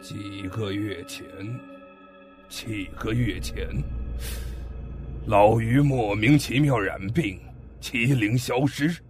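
A grave, commanding father played by a man speaks in a fan audio drama set in ancient times. His voice sits low and his words come very slowly and heavily. Soft music plays behind him. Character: stern father of a young heir, ancient China.

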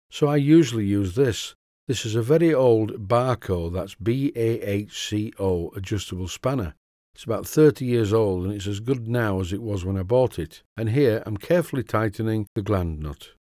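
A middle-aged man with a Yorkshire accent, giving a steady, methodical workshop tutorial voice-over about model engine repair. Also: fairly low pitch, dry recording, studio quality. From Yorkshire, England. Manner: steady, methodical, plain-spoken instruction. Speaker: model engineering channel host.

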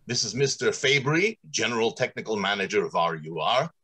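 Radio drama factory boss, male actor: genial idealist, declamatory, husky, very lively intonation. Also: lowish voice, a little fast, exaggerated voice. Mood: formal, genial. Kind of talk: old-time radio drama acting.

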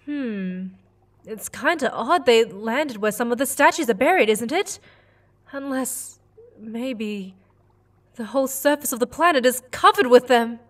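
A woman's voice: fairly high pitch, lively intonation, measured pace. An actress playing a wary, anxious crew watcher in an audio drama, hesitant and drawing out her words. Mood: uneasy.

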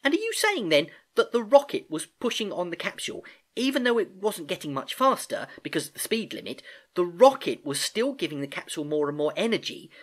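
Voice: medium pitch, sing-song, moderate pace, fairly bright tone, breathy edge, voice pushed up. A storybook narrator voicing a curious, cheeky young niece who asks eager questions. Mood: curious, dawning understanding.

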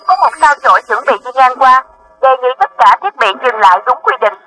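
A cartoon robot traffic announcer, very high for a male voice and piercingly bright. The delivery is formal and officious, through a radio-like filter. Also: very animated, a little fast, heavily acted.

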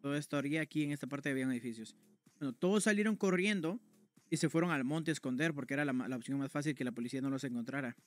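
A man's voice: on the high side, fairly bright tone, dry recording. A young man streaming from a room at home, casually telling a personal story to his chat in a slangy, conversational way. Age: young adult. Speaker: game streamer.

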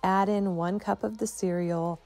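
A stay-at-home mom giving a chatty, relaxed voice-over for her home vlog, often saying "like" and "you know". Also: medium pitch, a little slow, faint music behind. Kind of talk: casual voice-over narration.

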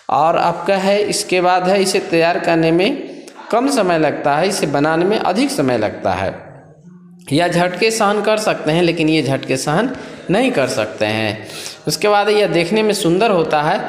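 A man with a fairly high voice reads through a trade lesson line by line, stopping to explain the points. The recording has a roomy echo and a long tail of reverb. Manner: explains step by step, reading from a page. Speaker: trade instructor. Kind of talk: reading out a textbook lesson. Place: voice-over over scanned book pages. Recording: echoey room with long reverb.

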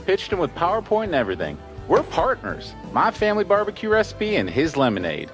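A proud goofy bbq joint owner, kinda high voice, swings all over, game drama. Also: male voice, a little fast, faint music behind. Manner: animated, quirky. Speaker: barbecue restaurant owner character. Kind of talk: comedic game cutscene acting.

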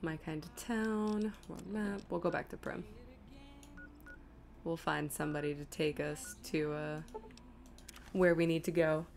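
A woman's voice: low voice, steady tone, a little slow, long pauses, darkish tone, slight background noise. A woman streamer giving casual live gameplay commentary, chattily thinking aloud to herself and her viewers. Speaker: video game streamer.

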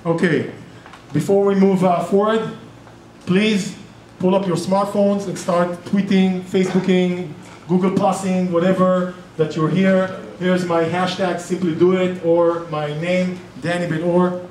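Brief: Israeli man, middle-aged, casual seminar presenter, slightly high voice, recorded from afar in an echoey room. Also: little pitch movement, measured pace, a little mellow. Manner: chatty, self-interrupting, joking asides. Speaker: real estate investing presenter and group organizer. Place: meeting room with projector. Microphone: far camera. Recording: roomy echo, distant camera, faint noise.

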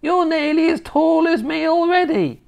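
A woman's voice: very high voice, lively intonation, moderate pace, gravelly, darkish tone, voice pushed up, full character voice. A woman voicing a nasty, bossy, gleefully wicked tiny old grandmother in a children's storybook, with a snappish, scornful, emphatic delivery.

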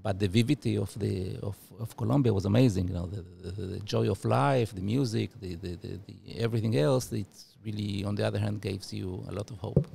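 A man in his forties, originally from Uruguay, talking in a podcast interview with halting restarts and fillers, on a studio mic. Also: on the low side, gravelly, mellow, slight background noise. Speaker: guest, former diplomat. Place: studio office room. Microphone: studio mic with headphones.

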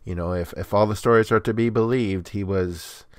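A man with a low, deep voice co-hosting a podcast. He explains things in a measured, relaxed way. The sound is dry and close to the mic. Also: clean tone, dry recording. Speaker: podcast co-host. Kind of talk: casual chat.

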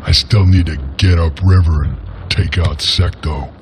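Deep, slow western bounty hunter. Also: male voice, slight background noise. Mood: grim, steady. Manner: terse, laconic drawl. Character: stoic male western bounty hunter beast, terse. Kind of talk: video game cutscene acting.

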